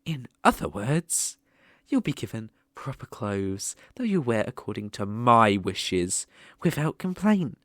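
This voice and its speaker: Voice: mid-range voice, sing-song, unhurried, fairly bright tone, dry recording, studio quality. A British-accented performer voicing a sadistic, possessive demon ruler in a scripted ASMR roleplay, with a mocking, haughty, theatrical manner. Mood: possessive, commanding.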